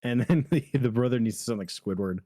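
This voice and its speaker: A man's voice: mid-range voice, fairly quick, warm tone, dry recording, clean studio sound. A man co-streaming a game, trading casual jokes and banter with friends. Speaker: co-streamer in a group read-along.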